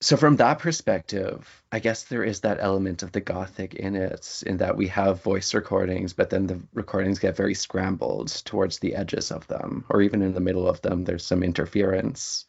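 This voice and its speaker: A man with a gravelly, husky voice talking as a guest in a conversational interview. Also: low-pitched, fairly even intonation, moderate pace, hardly any pauses. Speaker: interview guest, writer and academic. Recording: slightly dull, lo-fi audio.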